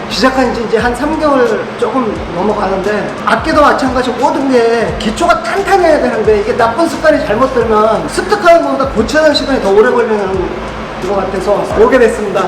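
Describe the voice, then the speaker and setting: A man in his fifties introducing himself at a boxing class, speaking in a measured, thoughtful way. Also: very high-pitched, animated. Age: 50s. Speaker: boxing student, instrument repairer. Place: boxing gym. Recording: distant echoey mic with noise.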